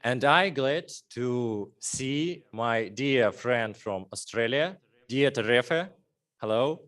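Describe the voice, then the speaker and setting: Slow, formal Russian-accented moderator. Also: male voice, mid-range voice, lively intonation, a bit bright. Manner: formal, halting, with many fillers. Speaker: conference moderator. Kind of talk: formal welcome address.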